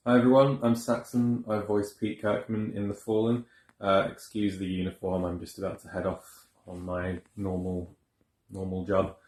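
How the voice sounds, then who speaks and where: A man, a new voice actor, giving a casual, earnest, low-key thank-you message in an echoey room. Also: little pitch movement, moderate pace, a little mellow. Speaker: amateur voice actor. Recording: roomy echo.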